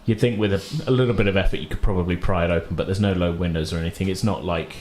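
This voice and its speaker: Male game master, lowish voice, casual halting improvised narration, slightly noisy recording. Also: fairly even intonation, a little mellow. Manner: halting, hedging with "sort of", rephrasing. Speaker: game master of a tabletop roleplaying game.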